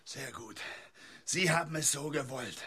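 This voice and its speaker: A man's voice: mid-range voice, lively intonation, a little slow, very noisy, close to an everyday voice. An actor playing an exasperated, bossy man who directs a radio play rehearsal, commanding and impatient. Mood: furious, commanding. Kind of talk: German radio play acting.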